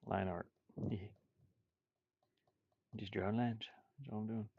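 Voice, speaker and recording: Male artist muttering offhand while drawing, fry-heavy low register, dry and slightly muffled recording. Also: fairly even intonation, unhurried, no room sound. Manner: absent-minded self-talk, sing-song asides. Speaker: game artist streaming a drawing session.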